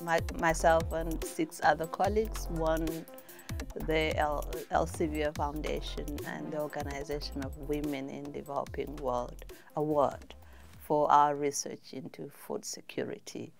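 Brief: low-voiced, gravelly woman from Rwanda, 40s, relaxed interviewee. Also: a little slow, over music. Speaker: associate professor. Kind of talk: interview answers to camera. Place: indoor studio, plain backdrop.

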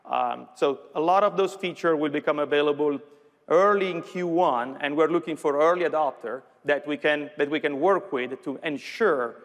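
Guy doing a tech keynote demo, slightly high voice, big echoey hall. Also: moderate pace. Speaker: software executive presenting a product demo. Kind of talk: live keynote presentation. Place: large conference stage with audience. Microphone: lapel mic. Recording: reverberant large hall sound.

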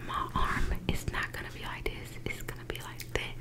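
A young American woman whispering in casual ASMR chat, playful and competitive, close to a desktop microphone. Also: moderate pace. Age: young adult. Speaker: mukbang video host. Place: small indoor room at a table.

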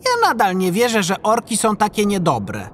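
A woman's voice: mid-range voice, huge pitch swings, normal speed, a little hiss or hum. A woman playing an eager, stubborn young boy humpback whale in an audiobook, pleading and childlike. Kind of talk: audiobook character acting.

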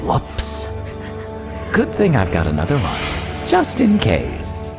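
A woman's voice: very deep voice, wildly swooping pitch, takes it slowly, full character voice. A woman playing a gloating female villain out for revenge in an audio drama, mocking her captive. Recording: audio drama with loud music.

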